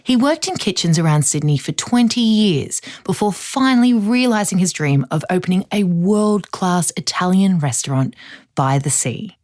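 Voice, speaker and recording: Actress as low, dry-sounding true-crime podcast woman. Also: animated, darkish tone, no echo, natural voice. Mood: storytelling. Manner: measured, insinuating, dramatic. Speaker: podcast host. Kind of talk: scripted true-crime narration.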